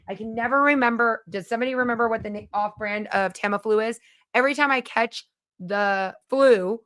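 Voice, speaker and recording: A woman's voice: mid-range voice, a bit bright, smooth, no room sound. A woman hosting a casual live stream from her home, chatting and reading viewer comments aloud. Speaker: livestream host.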